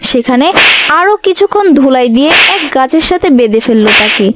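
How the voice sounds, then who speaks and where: A woman's voice: high voice, lively intonation, normal speed, heavy background noise. A woman storyteller reading scripted narration for a children's animal tale.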